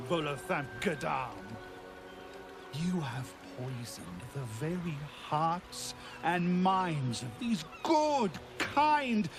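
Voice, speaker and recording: An actor playing a zealous, theatrical cult preacher in a video game, declaiming pompously like an orator. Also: male voice, high-pitched, exaggerated voice. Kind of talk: scripted video game acting.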